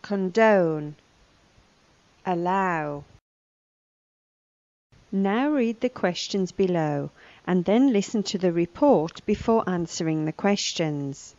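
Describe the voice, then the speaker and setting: A woman's voice: lowish voice, expressive, slow, pauses often, a little mellow, no room sound, muffled recording. A woman giving scripted lesson narration, reading vocabulary and a news report. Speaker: language lesson presenter.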